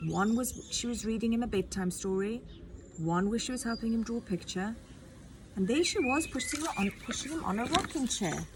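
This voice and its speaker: A woman teacher reads a children's novel aloud, her pitch lively and swinging through each sentence. She tells the story expressively and breaks off for chatty asides to young listeners. A faint hiss of background noise runs under her voice. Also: a little fast.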